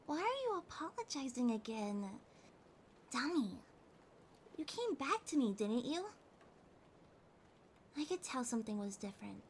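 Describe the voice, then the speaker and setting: A woman acting a needy, tender cat girl in an intimate ASMR audio drama, speaking haltingly and emotionally with breathy whispers. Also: high voice, sing-song, full character voice. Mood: sad, tender. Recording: rain ambience noise behind the voice. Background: rain sounds.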